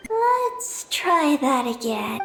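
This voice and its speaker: A woman voicing a calm, reserved young female guide in a fantasy game, speaking in a measured, matter-of-fact, gentle way. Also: sits high, creaky, roomy sound, music in the background, heavily acted. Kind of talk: video game voice acting.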